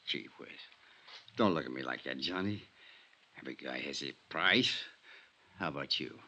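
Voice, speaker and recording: A man's voice: a bass voice, expressive, normal speed, bright, forceful tone, full character voice. An actor in a 1950s radio drama playing a crooked insurance adjuster and killer, falsely genial, with slangy, sardonic tough-guy talk. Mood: smug, wheedling.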